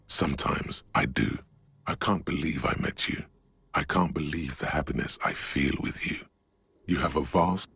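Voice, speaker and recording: A man acting as a brooding inner-voice narrator in a game, grave and intimate, with a husky, solemn delivery. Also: extremely low pitch, a bit bright, dry recording, heavily acted. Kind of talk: scripted dramatic game narration. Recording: music under the voice.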